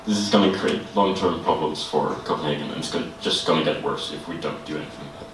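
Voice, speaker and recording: A man giving a student group design presentation in a halting way, with frequent "uh" and "um". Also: rumbling low. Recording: echoey room, distant mic, noise.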